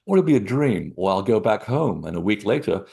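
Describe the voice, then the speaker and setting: A man from Portugal, a guest author, telling digressive stories in an animated way from his small apartment living room over a computer call. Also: lowish voice, fairly quick, creaky, husky. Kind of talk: rambling storytelling interview.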